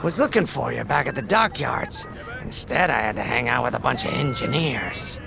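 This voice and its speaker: A man playing a chatty, gossipy rebel pilot in a space game, talking informally and conspiratorially with a gravelly voice. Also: medium pitch, lively intonation, normal speed, music in the background. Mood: friendly, wry. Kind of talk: casual in-game character monologue.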